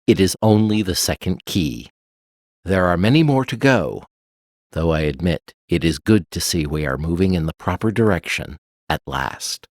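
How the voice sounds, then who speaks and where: A man's voice: low voice, animated, normal speed, dry recording. A man voicing a haughty, reserved master mage with a formal, pompous, dry manner in an audiobook. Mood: grudging satisfaction. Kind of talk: audiobook character acting.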